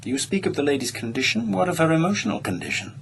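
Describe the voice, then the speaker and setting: An actor playing a haughty, disdainful aristocratic lord in a radio drama, speaking formally and with stiff indignation. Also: male voice, on the high side, very animated, fast, vocal fry, a bit bright, slight background noise, full character voice. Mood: indignant.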